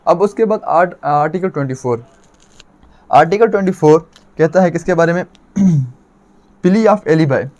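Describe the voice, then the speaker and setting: A man with a Pakistani accent and a fairly high-pitched voice gives an explanatory law lecture. He teaches step by step, restating each point and putting questions that he then answers himself. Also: a little slow, pauses often, darkish tone. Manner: didactic, repeats points and asks himself questions.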